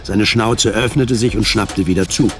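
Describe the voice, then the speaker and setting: A man's voice: lowish voice, moderate pace, fairly bright tone. A man reading scripted literary narration as the narrator of a radio drama. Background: music.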